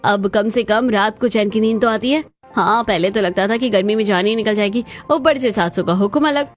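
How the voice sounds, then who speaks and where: A woman playing put-upon, chatty daughters-in-law in a cartoon story, with colloquial, expressive delivery. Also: medium pitch, a little fast, faint music behind, close to an everyday voice. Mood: chatty, content.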